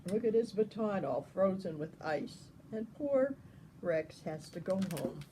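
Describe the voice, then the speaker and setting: Slow, very expressive grandmotherly woman storyteller. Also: fairly low pitch, a little hiss or hum, rough recording. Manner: expressive, deliberate phrasing. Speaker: grandmother reading a picture book aloud. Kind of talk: children's storytelling with asides to young listeners.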